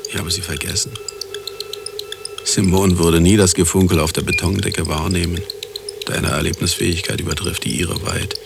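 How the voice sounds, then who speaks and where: An actor in a radio drama playing an uneasy, self-absorbed middle-aged husband and scientist. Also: male voice, sits low, fairly even intonation, moderate pace, creaky, music in the background. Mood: wistful.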